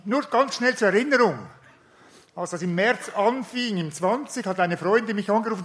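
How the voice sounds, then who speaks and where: A man's voice: high-pitched, fairly bright tone. A man from Switzerland with a Swiss German accent, giving a rousing speech to a live audience and asking the crowd questions. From Switzerland, canton Schwyz. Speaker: activist group speaker.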